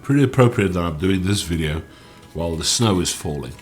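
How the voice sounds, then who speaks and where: Low-voiced man, stuffy. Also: a little fast, soft background music. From South Africa. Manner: relaxed, explanatory, with frequent "uh" fillers. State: stuffy from a cold. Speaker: gaming channel host. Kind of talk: gameplay walkthrough commentary.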